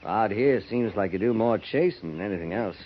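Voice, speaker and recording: Weathered American trooper, a male actor's role, hesitant, rambling, quietly troubled, muffled vintage radio drama recording. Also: fairly low pitch, lively intonation, brisk, lightly acted. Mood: grim, boastful. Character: older veteran cavalryman, folksy, brooding, quietly troubled. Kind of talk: 1950s American radio western acting. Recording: dull, noisy 1950s radio transcription.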